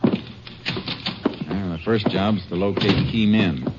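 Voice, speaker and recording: An actor playing a seasoned, level-headed Texas Ranger investigator in a 1950s radio drama, laconic and folksy, matter-of-fact. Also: male voice, low voice, very slow. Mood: businesslike. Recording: muffled vintage radio recording with music.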